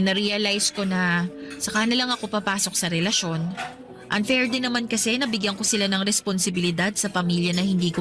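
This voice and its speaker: A woman's voice: medium pitch, natural voice. An actress playing a guarded, devoted young working woman in a radio romance drama, speaking earnestly and apologetically. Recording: soft music bed underneath. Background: music.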